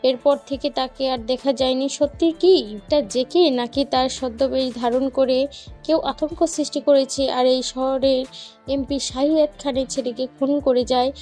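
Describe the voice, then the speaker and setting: A woman's voice: sits high, fairly even intonation, measured pace, warm tone, soft background music. A woman playing a young female television news reporter in a dramatized audio story. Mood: formal, presenting.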